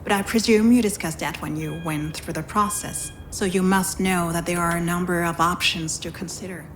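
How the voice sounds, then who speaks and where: Actress as female hospital physician, lower-pitched, measured, frank but sympathetic, game dialogue, faint background noise. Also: steady tone, lightly acted. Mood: businesslike. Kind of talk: visual novel voice acting.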